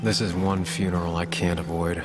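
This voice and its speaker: A man's voice: low voice, level tone, fairly quick, lightly acted. An actor playing a brooding, analytical investigative journalist who tries to keep his nerve, in a video game. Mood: somber. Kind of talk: video game dialogue and inner narration. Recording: dramatic music behind.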